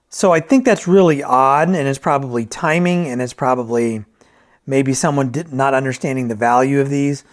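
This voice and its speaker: A man giving a casual, unscripted product review as a vintage audio reviewer, candid and self-deprecating, thinking aloud in colloquial phrasing. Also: on the high side.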